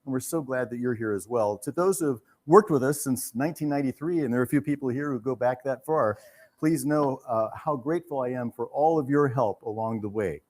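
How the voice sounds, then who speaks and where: A man's voice: medium pitch, fairly quick, darkish tone. A man speaking earnestly and reverently as host of a live theater event, giving a welcome speech and prayer.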